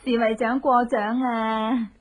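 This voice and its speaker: An actress playing a cunning, vengeful young wife in a Cantonese radio horror drama, with a Hong Kong accent and a manner that moves between coaxing politeness and taunting menace. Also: female voice, a little high, level tone, slow pace. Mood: polite, coaxing. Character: cunning, vengeful young wife who drugs her enemies.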